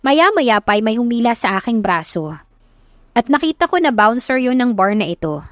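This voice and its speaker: A woman's voice: fairly high pitch, very animated, moderate pace, dry recording, clean studio sound. A woman narrator reading a scripted first-person love story in a dramatic audiobook style.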